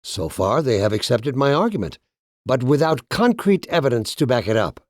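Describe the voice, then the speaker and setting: A polished, authoritarian administrator from a video game, played by a male actor, addressing his subjects like a statesman. He is pompous and formal, with measured oratory in a mid-range male pitch and a dry, close sound. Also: big pitch swings, a little mellow, dry recording, close to an everyday voice. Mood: stern warning. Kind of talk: scripted dramatic speech in a video game.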